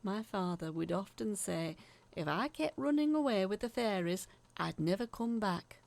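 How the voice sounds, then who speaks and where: Actress as haunted female letter writer for audio drama: confiding storyteller, creaky edge, very lively intonation. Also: medium pitch, moderate pace. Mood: confiding, wistful. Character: woman letter writer recalling eerie childhood tales, earnest, haunted. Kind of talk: dramatic scripted narration, read as a letter.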